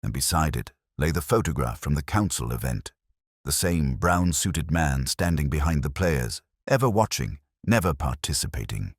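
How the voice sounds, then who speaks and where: A man reading a mystery story as a scripted narrator, with a husky voice. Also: rumbling low, animated, moderate pace, no echo, studio quality.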